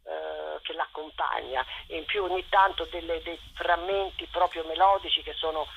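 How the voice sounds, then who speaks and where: A woman giving spontaneous, halting interview answers as a theatre director over the phone. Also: low-pitched, vocal fry. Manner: halting, searching for words. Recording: muffled phone line with faint noise.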